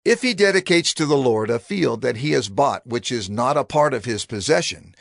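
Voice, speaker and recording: A man voicing the Lord as he lays down laws, reading in a measured, declamatory way for a dramatized scripture recording. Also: medium pitch, expressive, creaky, no echo, clean studio sound. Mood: stately. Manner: measured, declamatory reading with rising and falling stresses. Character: the Lord giving laws, a stately divine male voice.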